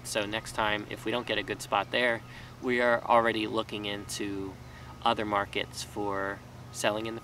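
A man's voice: medium pitch, vocal fry, sharp tone. A man in his thirties, a small farmer, talking casually and rambling a bit with fillers and restarts, filmed outdoors in a vegetable garden. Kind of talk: casual talking-head vlog. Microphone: camera mic from a few feet away. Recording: distant mic with faint outdoor noise.

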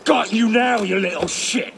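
An actor playing a hot-tempered blacksmith in a video game, with a gravelly, strained voice. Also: male voice, high-pitched, a little slow, background noise. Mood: furious. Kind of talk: video game cutscene acting. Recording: loud music behind.

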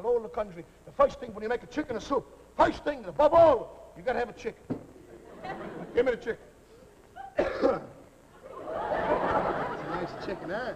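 A man playing a jolly old-country Italian cook with an Italian accent, rambling in broken English before a live audience. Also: very high-pitched. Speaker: comic cook. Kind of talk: live comedy sketch. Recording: live audience laughter, noisy old recording.

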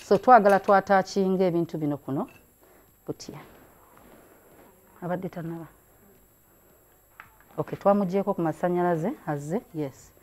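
Middle-aged woman, craft host, low mellow voice, chatty and encouraging, indoor room with a camera mic. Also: moderate pace, long pauses, a little hiss or hum. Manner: mixes languages, chatty and encouraging. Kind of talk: hands-on craft demonstration.

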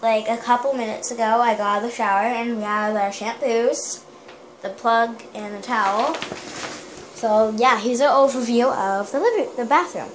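A young woman giving a rambling, giggly tour of her home, filmed on a handheld phone in a small house. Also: a little high. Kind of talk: casual home tour narration. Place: small house, kitchen and bathroom. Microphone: handheld phone camera. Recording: noisy home phone video.

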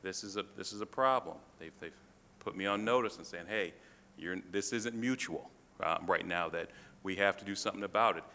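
A man presenting to a city council as a fire department official, halting, with many fillers and restarts. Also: sits low, pushed and bright. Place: council chamber. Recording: reverberant chamber, faint room noise.

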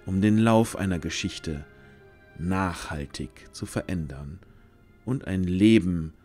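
A man with a fairly low voice narrates a fantasy audio play. He reads in a measured storytelling manner, leaving deliberate pauses between phrases. Soft music plays behind him. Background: background music.

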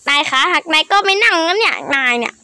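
A child improvising character voices for a cartoon skit, excitable and full of repeated exclamations. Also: very high-pitched, fast, no room sound, studio quality. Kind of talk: improvised voicing of characters in a game-animation skit.

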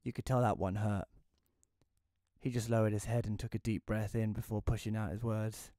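A man playing a bitter, reclusive widower who narrates an audio play in a wry, deadpan way. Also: on the low side, normal speed, no room sound, studio quality, natural voice. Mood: weary, bitter.

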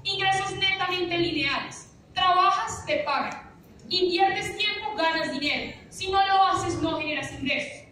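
A woman's voice: very high-pitched, bright, forceful tone. A young woman giving a punchy, rhetorical finance seminar to a room, often asking "¿listo?". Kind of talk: motivational seminar talk. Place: large lecture room with a projector screen, recorded by an audience phone. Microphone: audience phone camera, from afar. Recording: echoey room from far off, faint hiss.

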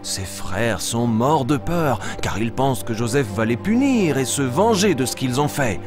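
Eager young lion cub, animated storyteller for a preschool puppet show, music underneath. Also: male voice, mid-range voice, unhurried. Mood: storytelling. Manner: expressive, simple and clear telling. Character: eager young boy lion, cheerful storyteller of Bible tales. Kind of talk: animated storytelling for small children.

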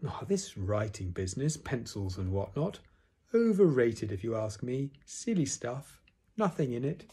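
A man's voice: mid-range voice, sing-song, measured pace, mellow, slightly rough, heavily acted. A man voicing a self-important, gloomy old donkey from a storybook, pompous, sarcastic and put-upon. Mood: sour, self-pitying. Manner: pompous, ponderous speechifying.

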